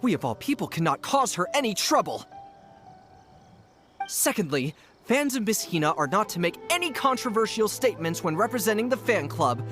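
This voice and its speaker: A woman's voice: medium pitch, very animated, moderate pace, fairly bright tone, soft background music. A woman playing a devoted fan club leader in a video game, addressing people in a solemn, rousing, speech-like way. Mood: solemn, rallying.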